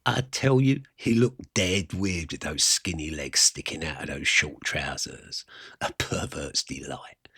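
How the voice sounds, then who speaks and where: A man with a husky voice performing a wry, self-mocking rock and roll fan's conversational monologue about his youth. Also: extremely low pitch, unhurried, a bit bright, dry recording, clean studio sound, heavily acted. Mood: indignant, wry. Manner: colloquial, self-mocking, reminiscing. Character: Johnny, wry music-mad eighteen-year-old English rock and roll poser. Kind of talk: first-person dramatic monologue, conversational storytelling.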